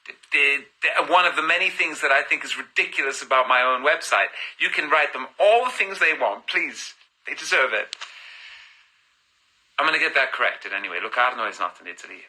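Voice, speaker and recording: A man in his thirties with a very bright, sharp-edged voice pitched in an ordinary middle range. He chats loosely into a phone, reading out fan questions and answering them, sliding from one language to another without pause. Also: animated, rough recording. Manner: switches between languages mid-answer, reads comments then replies. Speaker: singer answering fan comments on a live stream. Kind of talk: casual live-stream chat, reading fan questions aloud.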